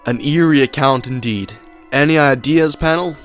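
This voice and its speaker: A man's voice: fairly high pitch, moderate pace, soft background music, natural voice. A man playing a glib television panel game compere in a fan audio drama, with patronising showman patter. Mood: theatrical.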